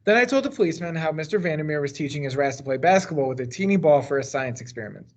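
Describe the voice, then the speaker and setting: A man's voice: a little high, expressive, very fast. An American man reads a children's novel aloud as a teacher would, stressing the starts of phrases and letting the endings fall. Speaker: teacher reading a book aloud. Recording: dull, lo-fi sound.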